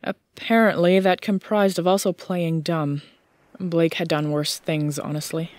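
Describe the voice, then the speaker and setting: A woman narrates fiction in a slightly low voice. Her delivery is measured and literary, recorded dry with no room sound. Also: fairly even intonation, darkish tone, dry recording. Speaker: audiobook narrator.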